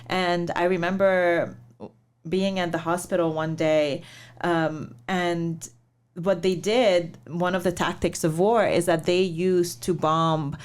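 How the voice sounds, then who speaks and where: A woman from Lebanon with a slightly low voice tells her life story in an interview. She talks in a measured, reflective way, pausing often and filling gaps with soft hesitations. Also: steady tone, clear, resonant tone. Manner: measured, reflective, many pauses and fillers. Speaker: guest, startup founder and investor.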